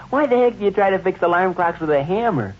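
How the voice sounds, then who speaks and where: A man's voice: high-pitched, expressive, brisk. Casting: folksy American boy, swooping intonation, slangy, vintage radio comedy, dull old recording. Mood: skeptical. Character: wry, folksy schoolboy son, patient with his father. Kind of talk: 1940s radio comedy acting.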